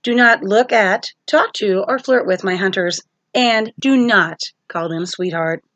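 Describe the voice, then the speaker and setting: A woman's voice: medium pitch, unhurried, a bit bright, no room sound, muffled recording, lightly acted. A woman voicing a stern goddess huntress in a storybook, a protective sister speaking in a curt, formal way. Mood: chiding.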